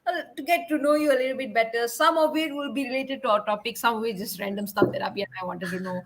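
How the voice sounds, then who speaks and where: A woman's voice: on the high side, quick pace. A young woman hosting an online interview in an upbeat, chatty way. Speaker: host.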